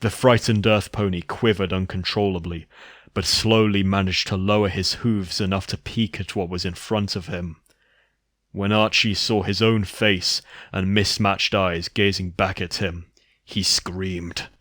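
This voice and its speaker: A man's voice: low-pitched, fairly even intonation, a little slow, a bit bright, no room sound, studio quality. A man giving a scripted narration of a horror story.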